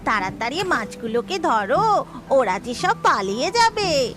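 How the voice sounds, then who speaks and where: Casting: actress as a friendly village ghost woman in human form, high voice, horror-cartoon delivery over music. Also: big pitch swings, a little slow, a bit bright, exaggerated voice. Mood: warm, urging. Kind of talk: Bengali cartoon voice acting.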